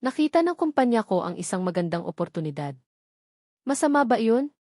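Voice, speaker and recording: A woman's voice: on the high side, very animated, brisk, darkish tone, dry recording, studio quality. Woman playing a bitter, vengeful scorned woman. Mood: smug. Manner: taunting, accusing, switches into English phrases.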